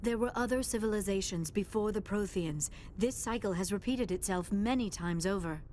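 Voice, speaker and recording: Sci-fi game: actress as youthful female alien researcher, medium pitch, formal, precise, earnest, faint rumble behind. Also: normal speed, a little mellow, natural voice. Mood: earnest, intent. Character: earnest, polite young female alien scholar. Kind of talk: video game dialogue acting.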